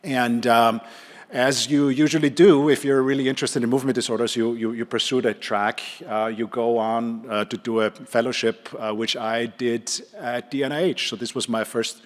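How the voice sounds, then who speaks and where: A middle-aged man with an Austrian accent giving an informal conference presentation, haltingly, with repeated words and many hesitations. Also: mid-range voice, fairly even intonation. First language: German. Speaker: conference speaker, neurologist and clinical trialist. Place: conference hall, speaking to an audience. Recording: reverberant hall sound.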